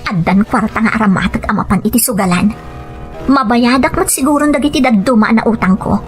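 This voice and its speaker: A woman acts out a deceitful gambling wife with an Ilocano accent in an audio drama. Her voice is on the high side, coaxing and dramatic, over background music. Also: brisk, lightly acted. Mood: scheming. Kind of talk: radio-style drama acting.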